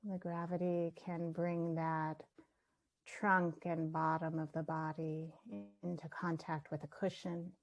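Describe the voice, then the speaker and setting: Slow, creaky-voiced woman guiding meditation. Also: sits low, little pitch movement. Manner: gentle, unhurried, with long pauses between phrases. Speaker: meditation teacher. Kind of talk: guided meditation.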